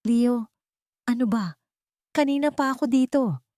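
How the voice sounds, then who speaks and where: A woman's voice: fairly high pitch, measured pace, warm tone, no room sound, studio quality, voice pushed up, close to an everyday voice. A Filipino-accented woman voicing a cold, impatient, disdainful rich fiancée in an audio storybook. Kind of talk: dramatic audio storybook reading.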